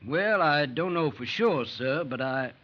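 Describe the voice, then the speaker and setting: A man's voice: on the high side, lively intonation, a little slow, highs cut off. An actor playing an anxious, plain-spoken farmer and father in an old-time radio drama. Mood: hesitant, earnest. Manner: plain-spoken, hesitant, rustic colloquial phrasing.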